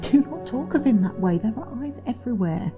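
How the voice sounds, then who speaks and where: An actress playing a gentle, weary young wife in an audio drama, earnest, cautious and soft-spoken. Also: female voice, fairly high pitch, expressive, brisk, soft background music. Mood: cautioning. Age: young adult.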